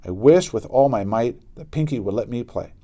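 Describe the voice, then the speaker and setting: A man narrating a children's book aloud in an expressive style with dramatic emphasis. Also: mid-range voice, normal speed. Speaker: storybook reader.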